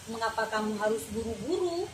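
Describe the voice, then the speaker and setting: A woman voicing a sly, hungry male wolf in a children's story, loud and coaxing. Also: fairly high pitch, animated, a little fast, close to an everyday voice. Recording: roomy classroom from far off, background noise.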